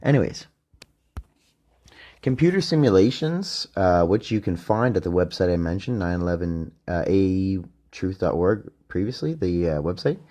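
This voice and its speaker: A man's voice: low-pitched, sing-song, moderate pace, warm tone. A man hosting his own podcast, talking off the cuff in a rambling, profane way with many restarts. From Canada. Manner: profane, hesitant, full of restarts. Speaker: podcast host. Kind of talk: rambling monologue.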